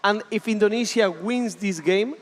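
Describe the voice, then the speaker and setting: A man's voice: high voice, normal speed. An Argentine-accented man, a native Spanish speaker, giving live esports football commentary and repeating short phrases for emphasis at a venue. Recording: live venue with loud background ambience.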